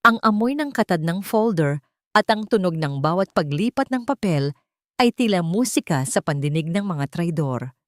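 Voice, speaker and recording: A woman with a fairly low voice tells a dramatic story in a solemn, measured manner. The delivery is literary and scripted, and the sound is very dry and close, without room reflections. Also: animated, no echo.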